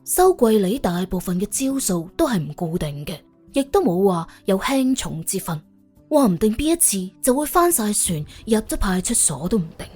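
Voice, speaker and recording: Husky, animated woman storyteller. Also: medium pitch, normal speed, soft background music. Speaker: audiobook narrator.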